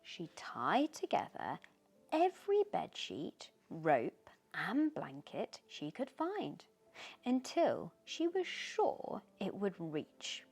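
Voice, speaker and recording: A woman reads a children's bedtime story aloud in an expressive, gentle storytelling manner. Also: medium pitch, slow pace, slightly rough. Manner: expressive, gentle storytelling with questions posed to the listener. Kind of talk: bedtime story read aloud with short asides.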